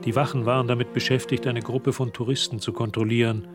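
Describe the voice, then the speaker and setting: An actor narrating a literary radio drama as a composed storyteller, reading scripted prose. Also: male voice, mid-range voice, little pitch movement, fast talker, music in the background, natural voice.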